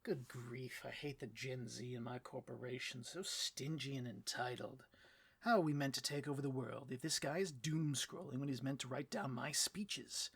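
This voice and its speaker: A man's voice: medium pitch, steady tone, moderate pace, gravelly, slightly rough, dry recording. A man playing a bloviating, petty supervillain boss in a comedic audio roleplay, with a pompous, melodramatic delivery and a taste for rants. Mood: irritated.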